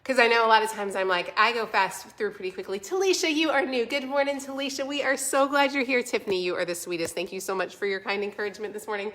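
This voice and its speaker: A woman's voice: fairly high pitch, fast talker, hardly any pauses, a bit bright. Stuffy-voiced woman chatting on livestream. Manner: chatty, encouraging, addresses viewers directly. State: stuffy, congested voice from a cold. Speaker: vlog host selling a home planner. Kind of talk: casual livestream chat, answering viewer comments.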